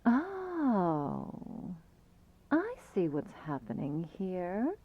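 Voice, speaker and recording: A woman's voice: mid-range voice, wildly swooping pitch, extremely slow, muffled recording, slight background noise, heavily acted. A woman playing a haughty, predatory vampire with a silky, condescending, theatrical delivery in an ASMR roleplay. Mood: sly, needling.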